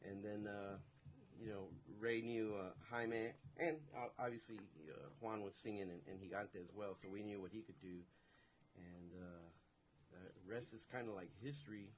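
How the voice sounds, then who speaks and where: A man's voice: fairly low pitch, steady tone, normal speed, slight background noise, rough recording. A man whose first language is Spanish, a musician guest telling a story on a radio show. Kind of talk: casual storytelling.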